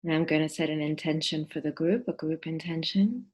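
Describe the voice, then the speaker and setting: A woman leading a guided meditation in a soft, breathy, husky voice, gentle and deliberate, with pauses between phrases. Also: low voice.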